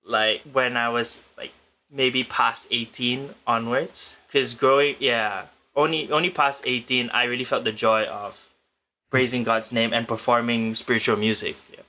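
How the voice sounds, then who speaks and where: A young man from Penang, Malaysia, a guest musician answering interview questions in a measured, thoughtful way, often restarting his sentences. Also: medium pitch, steady tone, sharp tone. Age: young adult. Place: remote location in the Philippines.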